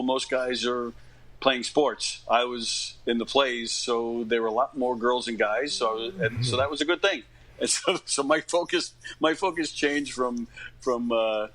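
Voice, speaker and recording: A man of about fifty from Long Island, New York, telling stories casually as an interview guest, in a halting, informal way that often doubles back. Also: medium pitch, fairly even intonation, fairly bright tone, slight background noise, poor audio. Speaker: interview guest, stage actor. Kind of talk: casual conversational storytelling.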